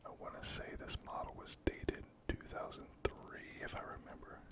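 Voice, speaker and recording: Whispering ASMR miniature painter. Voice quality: breathy, unvoiced whisper. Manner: unhurried, casual murmured musing. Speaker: hobby miniature painter and video host.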